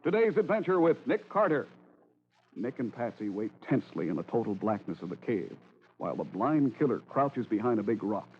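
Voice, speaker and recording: A man's voice: medium pitch, sing-song, fairly quick. A man with an American accent reading scripted announcements and narration in 1940s radio style. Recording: dull, hissy old radio broadcast.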